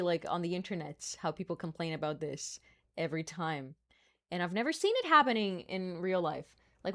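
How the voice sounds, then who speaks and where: A young woman with a Brazilian accent chats casually on her live stream, her voice sitting a little low. She talks playfully, laughs often and throws in asides.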